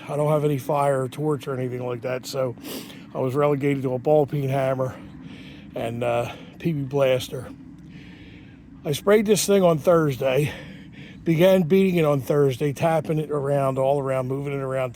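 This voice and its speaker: Everyday man, mid pitch, sniffly cold, rambling DIY vlog voice, unscripted. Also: fairly even intonation, normal speed, a little mellow, slight background noise. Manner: rambling, unpolished, with many fillers and restarts. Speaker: home mechanic. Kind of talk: casual garage how-to. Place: outdoors, cold.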